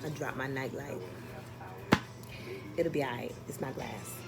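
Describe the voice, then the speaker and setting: A woman chatting casually as a vlogger, telling a personal story in an expressive, exasperated, sweary and comedic way. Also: extremely low pitch, unhurried, very noisy.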